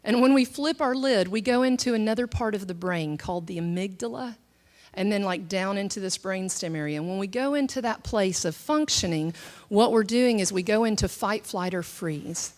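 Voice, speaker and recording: A woman's voice: medium pitch, normal speed, a little mellow. Conversational woman teaching in church. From southern United States. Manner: conversational, many fillers and restarts, acts out quoted thoughts. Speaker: speaker at a church teaching session. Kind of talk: teaching talk mixed with personal testimony.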